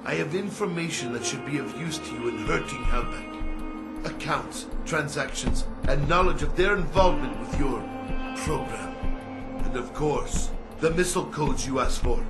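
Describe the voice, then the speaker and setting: An actor playing a shrewd middle-aged Saudi arms dealer in a video game, speaking in formal, grave, measured phrases. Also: male voice, fairly high pitch, loud music behind the voice, close to an everyday voice.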